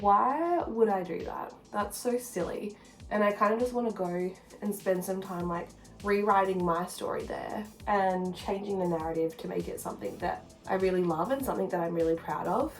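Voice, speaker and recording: A woman chatting informally to camera in a personal vlog, rambling and confiding in the listener. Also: mid-range voice. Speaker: vlogger. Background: background music.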